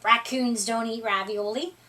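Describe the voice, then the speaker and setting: A woman's voice: fairly high pitch, steady tone, measured pace, sharp tone. A woman voicing a bossy, scoffing bunny and animal friends in a storybook, emphatic and drawn out, at some distance from the microphone. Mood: mocking, insistent.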